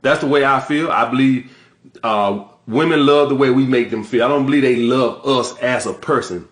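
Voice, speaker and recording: A man's voice: mid-range voice, normal speed, roomy sound. A man giving dating advice straight to his audience, talking bluntly and swearing for emphasis. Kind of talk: direct-to-camera advice monologue.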